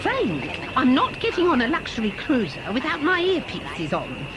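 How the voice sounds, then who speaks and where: Woman playing a fluttery, fussy wife with swooping pitch. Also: huge pitch swings, moderate pace. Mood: fussing, mildly indignant. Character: fussy, vain, chatty wife on a luxury trip. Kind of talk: audio drama acting. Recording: noisy cassette-era audio drama.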